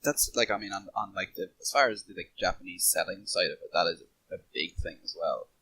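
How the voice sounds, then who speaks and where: A man with a low, slightly rough voice talks casually on a podcast panel. His tone has a forceful, bright edge. He speaks haltingly, doubling back on words as he works out his point.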